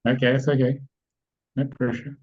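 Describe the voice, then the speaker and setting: A man hosting an online webinar, explaining calmly and checking in often with the people listening. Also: medium pitch, moderate pace, husky, muffled recording. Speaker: webinar host and spiritual teacher. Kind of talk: live online webinar teaching.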